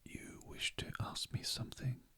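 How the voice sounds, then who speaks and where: A narrator voicing a grieving, dignified and reticent widow from a storybook in a breathy, formal whisper. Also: measured pace, bright, forceful tone, no echo. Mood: composed, wistful.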